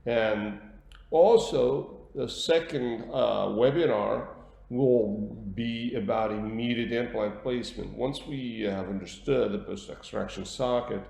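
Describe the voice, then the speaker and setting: A man with a Portuguese accent presenting an instructional webinar as a dentist, repeating words for emphasis in halting phrases. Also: medium pitch, vocal fry, mellow. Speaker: dentist giving a webinar lecture. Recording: roomy echo with light background noise.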